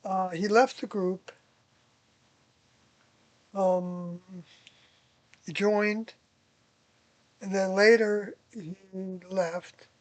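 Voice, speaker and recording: An older person reminiscing casually about past experiences, hesitant and pausing often while searching their memory. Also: medium pitch, fairly even intonation, dry recording. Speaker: interviewee, former member of a group recalling past experiences.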